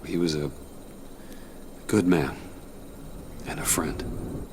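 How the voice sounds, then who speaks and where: An actor voicing a grave, gentlemanly man in a video game drama, terse and understated. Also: extremely low pitch, drawn-out words, exaggerated voice. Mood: somber. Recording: faint background noise.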